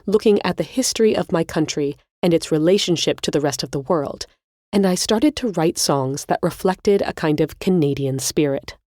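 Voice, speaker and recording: A woman's voice: fairly low pitch, warm tone, dry recording, studio quality. A woman hosting a podcast, narrating scripted music history in an articulate, explanatory way. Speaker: podcast host.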